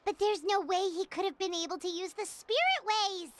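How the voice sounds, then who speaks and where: A woman voicing a tiny childlike fairy companion in a video game, chirpy, eager and squeaky. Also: normal speed, noisy background. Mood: suspicious. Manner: speaks of herself in third person. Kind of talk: video game character acting.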